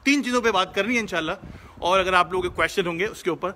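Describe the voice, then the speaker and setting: Bright high-voiced Pakistani man talking outdoors. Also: very fast, slight background noise. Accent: Pakistani Urdu. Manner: earnest, lecturing, sprinkled with English words. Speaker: host answering viewer questions. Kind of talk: casual live-stream talk. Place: outdoors, driving past shops.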